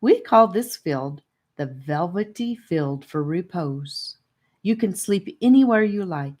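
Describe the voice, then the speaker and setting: A woman's voice: lowish voice, lively intonation, slow pace, a little mellow. A woman voicing a friendly, proud boy guide from a fantasy world in a children's audiobook.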